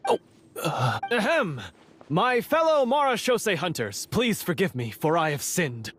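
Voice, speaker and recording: An actor playing an earnest, theatrical and repentant monster hunter in a fantasy video game, with a solemn, formal manner. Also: male voice, high-pitched, big pitch swings, measured pace, fairly bright tone, slight background noise, full character voice. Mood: theatrical, sheepish.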